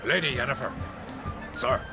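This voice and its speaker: A man's voice: medium pitch, moderate pace, loud music behind the voice, natural voice. An actor playing a courteous, deferential male steward in a fantasy video game, speaking formally and ceremoniously.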